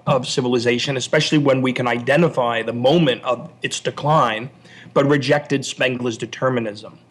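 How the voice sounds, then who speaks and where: A man with a husky, medium-pitched voice talks as an interview guest. He explains like a scholar, analytical and somewhat halting, doubling back on words as he builds each point. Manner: analytical, halting with repeated word starts.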